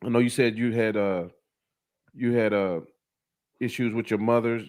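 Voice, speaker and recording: A man's voice: lowish voice, steady tone, normal speed, a little mellow. A man in his forties who hosts a casual interview, asking easygoing, thoughtful questions. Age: 40s.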